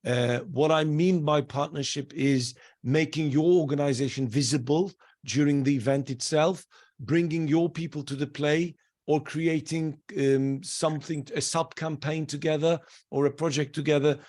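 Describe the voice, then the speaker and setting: Man making a quick announcement. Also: fairly high pitch, fairly even intonation, a little mellow. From Turkey. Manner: quick, slightly broken phrasing. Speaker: campaign worker. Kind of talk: announcement on a video call. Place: video call.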